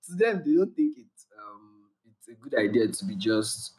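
A young man, chatting casually and telling stories in a relaxed way, laughing along. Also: mid-range voice, normal speed, distant mic, a crowd in the background. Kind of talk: casual chat.

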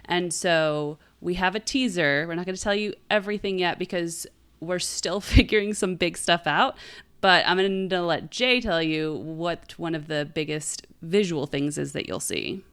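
Low, smooth-voiced woman chatting casually. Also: normal speed, a bit bright. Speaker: podcast co-host. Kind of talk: casual podcast chat.

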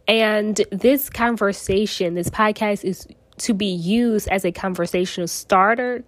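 A woman's voice: medium pitch, no room sound. A young woman hosting a solo podcast, sounding nasal from a cold and speaking earnestly in short phrases into her phone. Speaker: podcast host.

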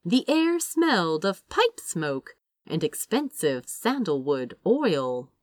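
A woman reading a story aloud as expressive scripted narration. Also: lowish voice, takes it slowly, no echo, studio quality. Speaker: audiobook-style reader of fan fiction.

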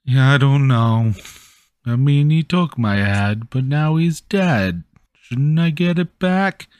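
A man's voice: mid-range voice, animated, darkish tone, smooth. Man voicing a forlorn, simple-minded living scarecrow. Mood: forlorn, puzzled. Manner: naive, forlorn, halting. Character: simple, sad, gentle living scarecrow, missing its head.

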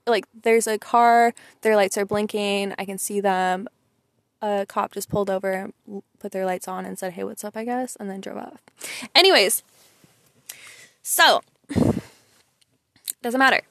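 A woman's voice: mid-range voice, unhurried, long pauses, fairly bright tone, no room sound, studio quality. A young woman with a sweet voice venting casually to listeners, chatty and emphatic, full of "like" and "you know". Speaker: vlogger.

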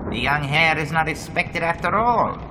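A man voicing a sinister coachman in a radio drama, drawn-out and menacing. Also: on the high side, expressive, background noise, close to an everyday voice. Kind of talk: 1930s radio acting.

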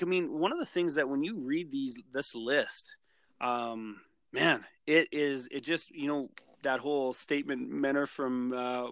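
A man's voice: mid-range voice, a bit bright, no echo. Rambling man on a podcast. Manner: rambling, many restarts and fillers. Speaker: podcast co-host. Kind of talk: casual podcast discussion.

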